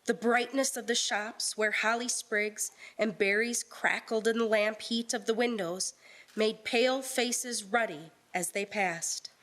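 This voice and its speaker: Woman narrator with a highish voice. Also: steady tone, measured pace, fairly bright tone. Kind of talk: scripted story narration, radio play style.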